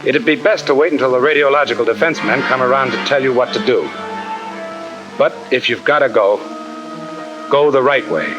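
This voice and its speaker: Actor as blunt army sergeant, 1950 training film. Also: male voice, mid-range voice, moderate pace, pauses often, dull audio, over music, close to an everyday voice. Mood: firm, instructive. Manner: blunt, slangy, wisecracking. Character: brisk, tough-talking army sergeant instructor. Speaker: instructor.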